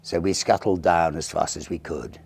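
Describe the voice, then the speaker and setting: A man reading a Victorian ghost story as an audiobook narrator, theatrical and expressive, with deliberate phrasing. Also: sits low, vocal fry, breathy edge.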